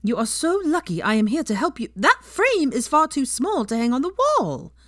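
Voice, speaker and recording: A woman's voice: sits high, very animated, normal speed, no echo, studio quality, voice pushed up. A woman voicing a haughty, bossy, mischievous young woman in a storybook reading, with an imperious, mocking delivery. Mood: bossy, exasperated. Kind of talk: dramatised audiobook character dialogue.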